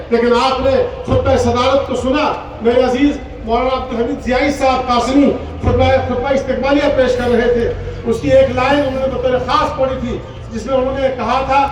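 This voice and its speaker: Male cleric, public religious oration, emphatic repetition, exhortatory, very high pitch, distant echoey sound. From Poonch, Jammu and Kashmir, India. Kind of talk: impassioned Urdu public oration at a religious gathering. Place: large gathering, speaking from a stage. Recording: echoey public address, distant, faint noise.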